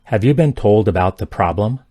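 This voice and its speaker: A man's voice: fairly low pitch, fairly quick, dry recording. Man reading practice sentences twice. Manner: reads each sentence twice, careful and even. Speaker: English sentence reader. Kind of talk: slow repeated sentence reading for learners.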